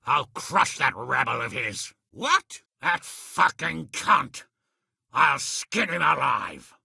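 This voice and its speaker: A hot-tempered medieval lord and commander from a video game. His voice is very forceful and hard-edged, gravelly and husky, with blunt, blustering delivery. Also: mid-range voice, big pitch swings, takes it slowly, dry recording, studio quality, heavily acted. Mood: angry. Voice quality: raspy, gravelly. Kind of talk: dramatic video game voice acting.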